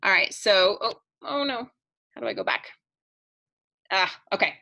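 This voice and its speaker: A woman marriage coach giving a chatty, upbeat pitch in a live online webinar, laughing between points and losing her voice. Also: wildly swooping pitch, a little slow, vocal fry, pushed and bright.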